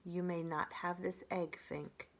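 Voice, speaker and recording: A woman voicing a calm, polite female robot in a storybook, speaking in measured, formal phrases. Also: fairly low pitch. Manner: measured, plain, formal without contractions.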